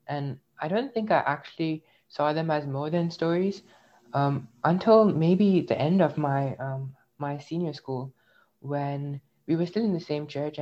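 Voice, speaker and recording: A man's voice: on the high side, highs cut off. A young man from India, raised in the UAE, telling his personal story in a thoughtful, reflective way as an interview guest. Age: young adult. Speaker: interview guest, graduate student. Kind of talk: personal testimony in conversation.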